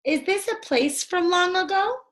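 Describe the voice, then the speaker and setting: A woman's voice: very high-pitched, a little slow, voice pushed up, full character voice. A woman voicing an eager, trusting, insistent young girl who loves animals, in a children's storybook. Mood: gentle, curious. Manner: insistent, excitable.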